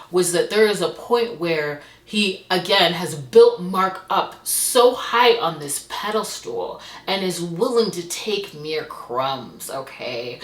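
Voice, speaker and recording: A woman's voice: on the low side, a bit bright, reverberant. A woman chatting expressively as a book reviewer, gushing and emphatic as she recaps what she has read. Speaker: book reviewer and host of a reading channel. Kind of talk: chatty monthly reading wrap-up to camera.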